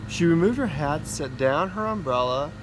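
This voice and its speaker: A man's voice: on the high side, animated, moderate pace. A man, a school principal, reading a children's storybook aloud outdoors in an informal way for young listeners. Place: outdoors in a backyard. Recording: outdoor noise, vehicle and ambient hum. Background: loud outdoor ambience, vehicle noise.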